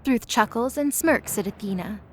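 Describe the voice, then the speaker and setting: A woman's voice: on the high side, animated, normal speed, slight background noise. A woman narrator reading scripted story narration for an audio drama.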